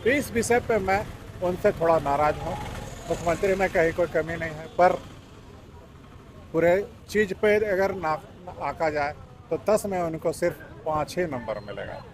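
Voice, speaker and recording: A man India speaks his mind in a street interview. His voice is somewhat high and he repeats phrases for emphasis. Faint traffic noise surrounds him. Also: normal speed. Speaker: member of the public being interviewed. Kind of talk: spontaneous opinion in a street interview. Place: outdoors in an urban area.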